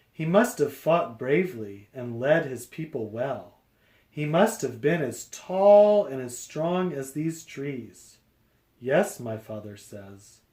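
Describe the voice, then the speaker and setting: A man reading a picture book aloud to young children, explaining deliberately phrase by phrase. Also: medium pitch, darkish tone, echoey room.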